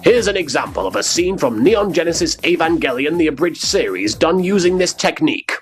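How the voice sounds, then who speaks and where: A smug cartoon man acted by a male performer lectures with dry, condescending sarcasm in a scripted parody. His voice sits on the high side and swoops up and down, with faint music behind it. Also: big pitch swings, a little fast. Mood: smug, instructive. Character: arrogant mocking cartoon man giving a sarcastic tutorial. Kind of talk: scripted comic parody lesson.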